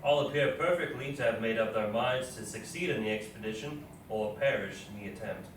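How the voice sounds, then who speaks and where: Male actor as matter-of-fact expedition officer, deliberate formal journal reading for readers theatre, roomy and off-mic. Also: fairly low pitch, fairly bright tone, close to an everyday voice. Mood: resolute. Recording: echoey room, distant mic, faint noise.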